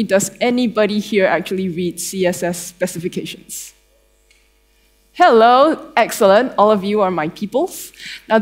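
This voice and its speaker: A young woman with a Malaysian accent giving a tech conference talk on stage in a conversational, playful way with dry humour. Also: mid-range voice. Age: young adult. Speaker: conference speaker, developer advocate. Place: theatre stage before an audience.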